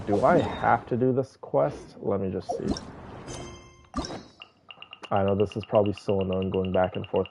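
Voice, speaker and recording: A man's voice: low-pitched, vocal fry, noisy background. A man livestreaming a game with casual, chatty and effusive commentary, talking directly to his viewers. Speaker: game live streamer. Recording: dull, band-limited stream audio. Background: music.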